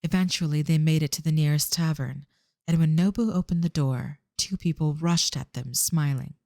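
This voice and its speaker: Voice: medium pitch, moderate pace, a little mellow, no room sound, clean studio sound. A narrator reading scripted storytelling for an audio drama.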